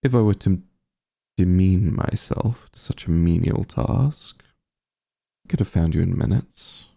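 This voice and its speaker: Man playing a dark, low-voiced evil king. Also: vocal fry, exaggerated voice. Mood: haughty, stern. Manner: pompous, condescending, deliberate formal diction. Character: arrogant, menacing evil sorcerer king, haughty and imperious. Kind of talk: ASMR roleplay acting.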